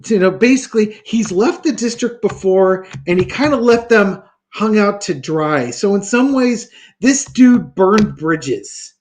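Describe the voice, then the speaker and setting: A man's voice: high voice, lively intonation, a little slow, hardly any pauses. A man reading tarot cards in a casual talk show, thinking aloud with many fillers and restarts. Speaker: tarot reader.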